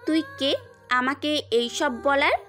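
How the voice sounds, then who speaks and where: A woman's voice: high voice, takes it slowly, a bit bright. A woman playing an arrogant, scornful rich young woman in an audio drama. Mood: haughty. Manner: scornful, picks fights.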